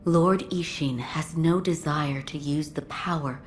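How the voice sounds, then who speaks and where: Low-voiced, slow, composed female doctor. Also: slight background noise. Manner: formal, reserved, deliberate. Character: composed adult female doctor, dutiful servant of a lord. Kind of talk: video game cutscene acting.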